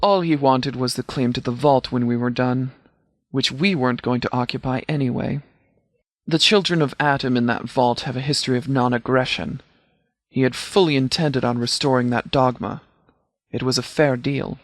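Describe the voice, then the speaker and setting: A man playing a stern, principled post-apocalyptic sheriff in a video game, speaking in a formal, measured, articulate way. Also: medium pitch, steady tone, dry recording, close to an everyday voice. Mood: approving, matter-of-fact. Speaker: voice actor in a game mod. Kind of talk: scripted video game character dialogue.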